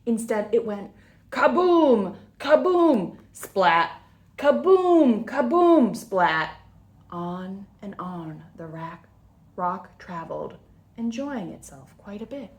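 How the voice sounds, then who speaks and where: Unhurried woman reading a children's story. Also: mid-range voice. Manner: animated, deliberate storytelling. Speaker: children's story time reader. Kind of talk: expressive storybook read-aloud with asides to children.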